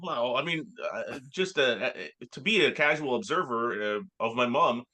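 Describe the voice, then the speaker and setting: A man co-hosting a comedy podcast, telling rambling stories in halting bursts full of filler sounds. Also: mid-range voice, sharp tone. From Canada. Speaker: podcast co-host. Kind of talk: casual comedic chat.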